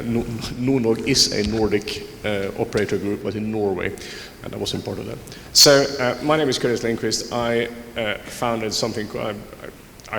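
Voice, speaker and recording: A man's voice: on the low side, gravelly, fairly bright tone. A man from Finland, a conference panelist, reflecting on his career with frequent restarts. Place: large conference hall. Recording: roomy hall with background noise.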